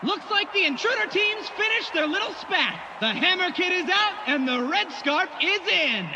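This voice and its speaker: A man playing an excitable tournament announcer in an anime dub, giving bombastic play-by-play commentary. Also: very high-pitched, moderate pace, over music. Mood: excited. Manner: bombastic, shouted delivery. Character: excitable male tournament announcer calling a wild match. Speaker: match announcer.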